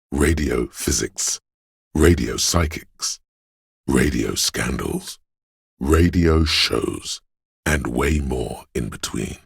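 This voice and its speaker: A man voicing a game character, the pedantic, erudite inner voice of a detective's knowledge, speaking in a measured, very deep, gravelly, husky voice. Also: dry recording, clean studio sound, full character voice. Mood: searching. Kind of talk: scripted game narration.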